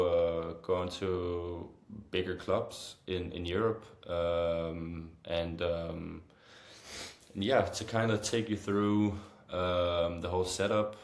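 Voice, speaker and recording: A young man with a Danish accent and a low voice speaks in a very flat, unhurried way. He pauses often with hesitant fillers as he answers casually as an interview guest. The sound is roomy and echoing. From Copenhagen, Denmark. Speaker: interview guest, football goalkeeper.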